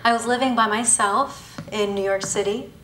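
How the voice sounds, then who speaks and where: A woman's voice: a little high, fairly even intonation, bright, forceful tone, distant mic, a little hiss or hum. Emphatic woman in an echoey hall. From Bangladesh, raised in Thailand. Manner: emphatic, rhetorical delivery with pauses between listed words. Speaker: spoken-word performer. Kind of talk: live spoken-word performance. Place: university auditorium before an audience.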